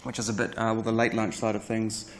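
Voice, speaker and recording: A man in his thirties with a New Zealand accent, giving a conference talk in a lecture hall, rushing along with many fillers and restarts. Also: on the low side, little pitch movement. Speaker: guest speaker. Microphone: lapel mic.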